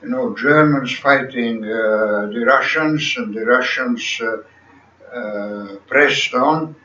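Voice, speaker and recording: A hundred-year-old man with a Latvian accent speaks very slowly and haltingly, searching for words between many pauses. He sits in an armchair in a small room at home, caught by a camera across the room. The sound is roomy, dull and distant. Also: mid-range voice, pushed and bright, a little hiss or hum. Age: 100s. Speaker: interviewee. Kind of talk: slow recollection of his life story.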